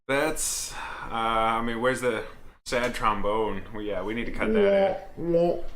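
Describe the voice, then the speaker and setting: A man's voice: medium pitch, animated, takes it slowly, a bit bright. A man co-hosting a casual chat, bantering in a laid-back, reassuring and jokey way. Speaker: co-host. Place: outdoors in the shade. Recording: faint background noise.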